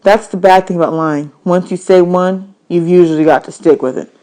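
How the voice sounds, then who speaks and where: A woman reading a children's novel aloud as an expressive audiobook, with deliberate, emphatic storytelling. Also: lowish voice, rough recording.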